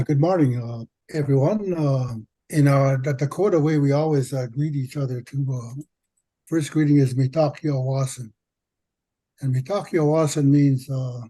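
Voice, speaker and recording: Soft, husky-voiced man speaking slowly. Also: medium pitch, poor audio. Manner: slow, halting, soft-spoken. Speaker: knowledge keeper on a panel. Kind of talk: panel answer. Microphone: video call.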